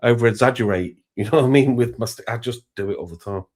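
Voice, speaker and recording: A man's voice: medium pitch, quick pace, darkish tone. A man chatting as a guest on a live show, rambling and restarting his sentences. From Scotland. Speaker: call-in guest. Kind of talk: casual chat.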